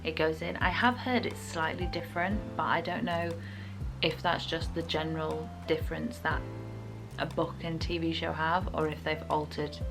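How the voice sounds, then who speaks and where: A young woman talks casually about books to her viewers. Her voice sits fairly low and has a clear creak, with music playing behind it. She is chatty and informal, often hedging her opinions. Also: steady tone, normal speed. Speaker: book reviewer.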